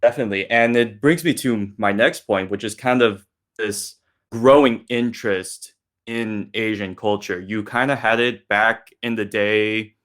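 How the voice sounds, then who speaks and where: American guy, kinda low voice, informal interviewer chatting in a discussion. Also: measured pace, fairly bright tone. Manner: informal, uses 'like' and 'kinda' often. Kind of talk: casual discussion.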